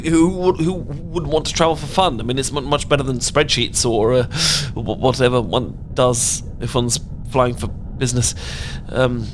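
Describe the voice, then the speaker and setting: A man's voice: mid-range voice, background noise, close to an everyday voice. A British man playing a nervous, bookish man in an audio romance roleplay, stammering and rambling in a flustered way.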